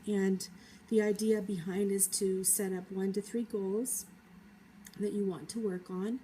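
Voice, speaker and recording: A woman with a cold, talking through her feelings in a thoughtful, hesitant personal vlog monologue with many pauses. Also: mid-range voice, little pitch movement, dark tone, slight background noise, rough recording. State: has a cold. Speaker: vlogger.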